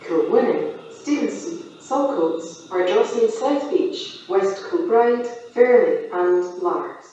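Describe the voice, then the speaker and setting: A woman's voice rings out over a station loudspeaker with heavy echo and long reverb, sounding far from the microphone. She reads formal automated train announcements slowly, in measured, formulaic phrases. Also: medium pitch, muffled recording. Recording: echoey station PA, long reverb, distant mic.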